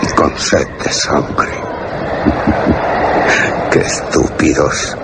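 An actor playing a scornful, gloating undead vampire lord in a radio horror drama, with a theatrical, sneering delivery. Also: male voice, extremely low pitch, little pitch movement, creaky, exaggerated voice. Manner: theatrical, sneering, drawn-out delivery with sinister laughter. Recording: very noisy old radio drama.

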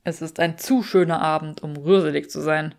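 A woman's voice: deep voice, steady tone, moderate pace, vocal fry, a little mellow. A woman voicing a brooding, self-reproachful, haughty man with dry wit in a fiction audiobook. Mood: wry.

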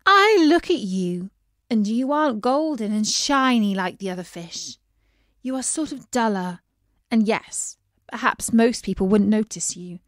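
A woman's voice: a little high, expressive, unhurried, dry recording, studio quality. A woman voicing a shy but earnest young princess in a storybook reading, eager and emphatic.

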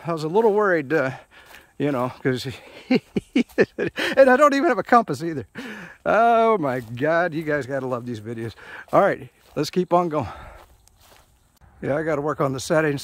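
A middle-aged man chats as he walks through the woods, with a lot of fillers and some self-mockery. His voice sits a bit high for a man, and it is picked up by the phone he carries outdoors. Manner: rambling, self-mocking, many fillers. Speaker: hiking vlogger. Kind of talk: casual walking commentary. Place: forest trail outdoors. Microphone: phone camera held while walking.

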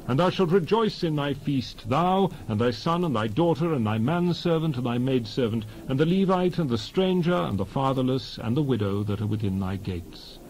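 A man giving a dramatized reading of scripture in a solemn, deliberate manner, phrase by phrase with pauses. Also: medium pitch, a little mellow, a little hiss or hum, rough recording. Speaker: Bible reader.

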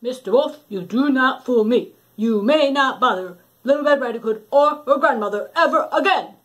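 A woman's voice: high-pitched, expressive, normal speed, a bit bright. A woman voicing a brave male woodcutter who orders a wolf away in a storybook reading. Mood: stern. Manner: commanding, scolding.

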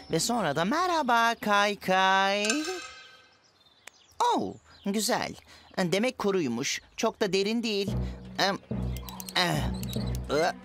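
A mischievous, impatient schoolboy in a dubbed cartoon. The delivery swings widely in pitch yet takes its time, with loud music underneath. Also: female voice. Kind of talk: Turkish cartoon dub.

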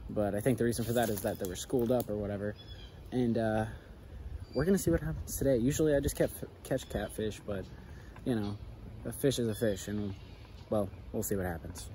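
A man's voice: mid-range voice, brisk, dark tone, a little hiss or hum. A young man vlogging while he fishes from a backyard dock, chatting loosely with lots of fillers, recorded on a head-mounted camera. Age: young adult. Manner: rambling, laid-back, loose with fillers. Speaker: fishing vlogger. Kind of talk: casual running commentary while fishing. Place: outdoors on a backyard dock by a canal.

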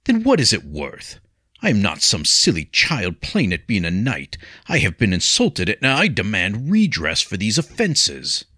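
A man's voice: low voice, animated, unhurried, bright, forceful tone, no echo, studio quality, heavily acted. A man voicing an arrogant young knight who speaks indignantly and with contempt. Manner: haughty, indignant.

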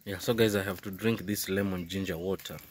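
A man's voice: low-pitched, steady tone, fairly quick, very noisy. A man in his thirties with a Kenyan accent, talking casually to his phone camera while he has a cold, mixing Swahili and English. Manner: mixes Swahili and English, chatty. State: congested with a cold. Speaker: vlogger. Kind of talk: casual vlog talk to camera. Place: outdoors in a rural yard and indoors kitchen. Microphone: phone at arm's length.

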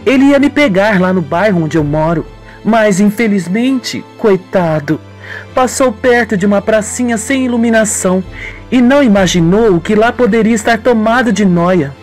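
A man's voice: high-pitched, expressive, moderate pace, faint music behind, voice pushed up. A man voicing a scheming young woman who plays innocent, coy and slangy, in an audio soap opera. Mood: feigned distress.

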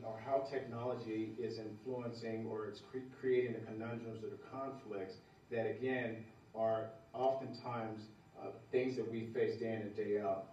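A man's voice: mid-range voice, warm tone, a little hiss or hum. Middle-aged man giving a keynote in an echoey hall. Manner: conversational, repeats words, gestures while speaking. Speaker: keynote speaker. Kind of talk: conference keynote speech. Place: large dark event hall, at a podium. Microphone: podium mic, recorded from afar. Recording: roomy hall sound from far off, dull.

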